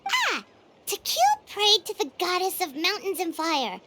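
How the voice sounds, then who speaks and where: A woman voicing a small, bubbly, excitable fairy companion in a video game, exclaiming and chatty. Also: extremely high pitch, a little slow, noisy background, heavily acted. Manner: refers to herself in third person, exclaiming. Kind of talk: English video game voice acting. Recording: game music behind the voice.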